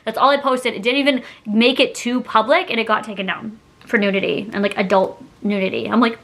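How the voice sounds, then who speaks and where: A young woman vlogger with a fairly high, very bright and forceful voice. She chats casually as if telling friends a story, with a dramatic, giggly delivery that keeps wandering off on tangents. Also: fairly even intonation, fairly quick. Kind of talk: casual storytime chat to camera.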